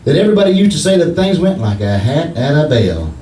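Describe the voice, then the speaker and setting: Male actor as blustering comic husband, affectedly formal, highish voice, old-time radio style, noisy hall. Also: very animated, quick pace. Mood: chatty. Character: blustering husband putting on pompous, mangled fancy English. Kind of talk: 1940s radio comedy acting before a live audience. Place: live theatre stage. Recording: echoey stage, distant mic, noisy.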